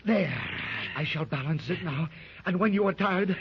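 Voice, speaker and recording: A man playing an excitable French companion with a French accent in a 1930s radio drama, flustered and full of French exclamations. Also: high-pitched, moderate pace, noisy background. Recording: dull lo-fi 1930s radio recording.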